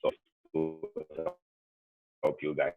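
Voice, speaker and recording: Deep-voiced Zimbabwean man on tinny call. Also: completely flat delivery, takes it slowly, faint music behind. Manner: rambling, heavy on "like" and "you know". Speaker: college student speaker. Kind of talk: casual talk to students over a video call. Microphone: video call. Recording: tinny, dry video-call audio.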